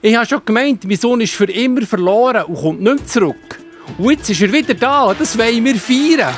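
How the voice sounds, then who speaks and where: Forgiving father, Swiss German accent, high swooping pitch, heartfelt, children's radio play. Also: animated, normal speed, faint music behind. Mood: joyful, urgent. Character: loving, forgiving, joyful father and farm owner.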